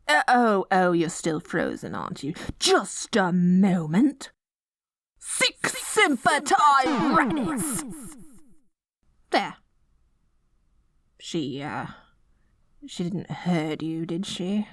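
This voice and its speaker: Woman playing a theatrical, dorky evil queen, animated. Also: medium pitch, no echo, studio quality. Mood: triumphant, smug, then flustered. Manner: grandiose proclamations breaking into flustered stammering. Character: dorky, theatrical evil queen, haughty yet secretly smitten. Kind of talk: scripted ASMR roleplay acting.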